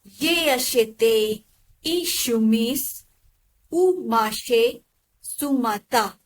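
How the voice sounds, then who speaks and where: A woman modelling sentences for a language lesson, enunciating each phrase clearly and evenly. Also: fairly high pitch, drawn-out words. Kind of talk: slow model repetitions of sentences.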